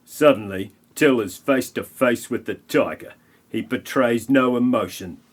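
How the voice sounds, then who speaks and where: A man's voice: medium pitch, unhurried. A man giving scripted comic narration for an audio play, with a mock-dramatic storytelling manner.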